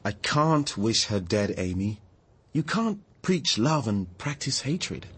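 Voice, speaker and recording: An actor playing an earnest, gentle, hard-up idealist activist in a radio drama, mild and a little preachy. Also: male voice, lowish voice, big pitch swings, slow pace, husky, exaggerated voice. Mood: downcast.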